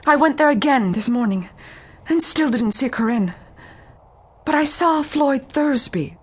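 An actress playing a timid, refined young woman client in a detective audio drama, speaking in a halting, pleading manner. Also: sits high, lively intonation, husky, exaggerated voice. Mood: distressed, pleading.

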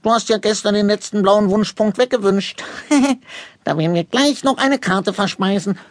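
A woman's voice: medium pitch, moderate pace, no room sound, lightly acted. A woman playing a cheeky, childlike little imp in a children's radio play, playful, mischievous and fond of rhyming wordplay. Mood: gleeful, teasing.

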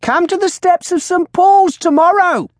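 Old tavern beldam: piercingly high, brash, haranguing, for a historical audiobook. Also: unhurried, dry recording, studio quality. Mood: boastful, crying out. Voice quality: shrill. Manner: bold, haranguing street-seller's shouting. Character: fat, shrill old tavern woman hawking a talking bird.

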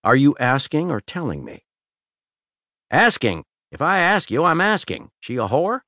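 A man putting on a crude, leering older convict in an audiobook, pitched well above the narrator's own low voice. He drawls, teases and toys with the listener, his voice swinging up and down. The read is dry and close. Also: wildly swooping pitch, a little slow, sharp tone, no room sound, heavily acted. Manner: drawling, teasing and sly. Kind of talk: audiobook character voice.

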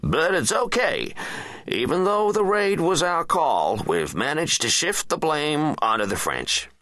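A man's voice: fairly high pitch, sing-song, a little slow, pushed and bright, no room sound, voice pushed up, full character voice. A man voicing a nervous, evasive defence secretary in a satirical audiobook, placating and apologetic. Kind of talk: satirical audiobook character acting.

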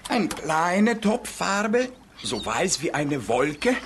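A woman's voice: fairly low pitch, sing-song, takes it slowly, slight background noise, heavily acted. A woman voicing a cheeky, playful young creature in a children's audiobook, talking in a sing-song, giggly way. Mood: cheerful. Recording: children's audio drama with music behind.

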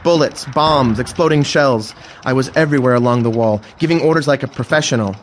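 An actor playing an earnest young blinded officer in an audio drama, formal, articulate and heartfelt. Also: male voice, mid-range voice, brisk, slight background noise, natural voice. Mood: anguished, proud. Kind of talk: full-cast audio drama acting.

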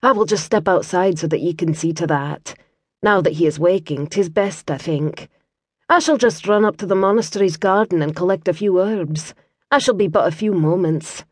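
Brief: woman narrator doing a soft-spoken, sultry Scottish healer lass, gently teasing, hushed and low, storybook read. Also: moderate pace, no echo, clean studio sound, natural voice. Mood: wry.

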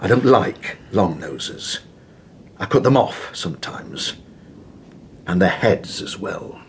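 An actor playing an overbearing, impatient Tudor official with a husky voice and a brusque, contemptuous manner, in a radio drama. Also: male voice, low voice, lively intonation, slow, a little hiss or hum. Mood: quiet menace. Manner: brusque, commanding. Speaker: senior official. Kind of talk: full-cast radio drama.

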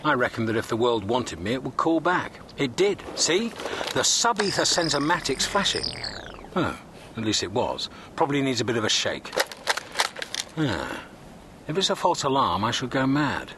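A man's voice: medium pitch, big pitch swings, moderate pace, background noise, lightly acted. Guy playing cheerfully unhinged space drifter, radio comedy, whimsical and very up and down. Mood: breezy. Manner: breezy, whimsical, offhand. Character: breezy, eccentric male galactic hitchhiker, cheerfully unhinged. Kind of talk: radio comedy drama acting.

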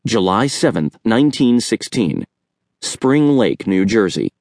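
A man's voice: on the low side, big pitch swings, unhurried, a little mellow, no room sound, clean studio sound. A man reading a children's story aloud as scripted audiobook narration.

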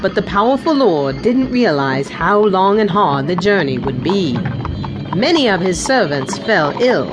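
A woman narrating a children's storybook audiobook with an expressive storytelling delivery. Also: lowish voice, measured pace, low-quality recording. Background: background music.

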